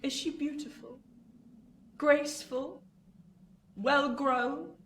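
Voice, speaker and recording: A woman's voice: sits high, fairly even intonation, takes it slowly, a bit bright. A woman acting a bitter, obsessive old rich spinster in a radio play, commanding, intense and theatrical. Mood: feverish, obsessive. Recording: echoey room, distant mic, faint noise.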